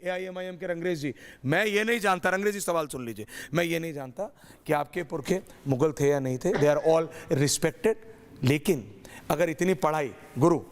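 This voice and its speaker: A man moderating a heated TV debate, interrupting and pressing the panel, his throat sore. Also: on the high side. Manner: interrupting, forceful, mixes English phrases. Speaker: debate show anchor.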